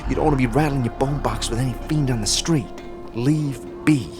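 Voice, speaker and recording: A man's voice: medium pitch, a little fast, over music, lightly acted. A man playing a flamboyant, sly street tout in an audio drama, with a theatrical, slangy, chuckling manner. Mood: sly, breezy. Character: flamboyant, sly sandy-skinned street tout offering his services. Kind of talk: audio drama character acting.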